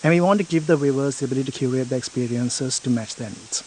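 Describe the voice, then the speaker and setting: A man's voice: mid-range voice, fast talker, a little mellow, a little hiss or hum, rough recording. A man in an interview explaining a product feature step by step, often checking in with "right?".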